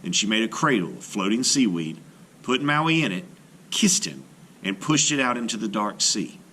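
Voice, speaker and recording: Guy reading a kids legend aloud, lowish voice, really animated, dramatic phrasing. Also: normal speed, a little hiss or hum. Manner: dramatic, carefully phrased storytelling. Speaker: storyteller. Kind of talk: expressive children's read-aloud narration.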